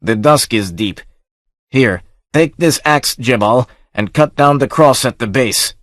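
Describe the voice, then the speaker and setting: A hard outlaw chief, as a male narrator voices him, cold and commanding, speaking a little higher and louder than the telling around him. The reading is dry and close, with no room around it in this storybook tale. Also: measured pace, no echo, close to an everyday voice. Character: hard, scornful outlaw chief on horseback, a man.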